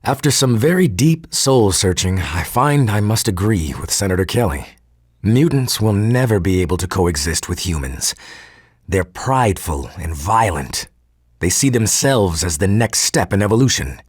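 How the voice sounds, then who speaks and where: An actor playing a formal, articulate male geneticist recording an audio log for a game, measured and husky. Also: lowish voice, very animated, dry recording, studio quality. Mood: cold, resolute. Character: earnest male geneticist turning cold and militant.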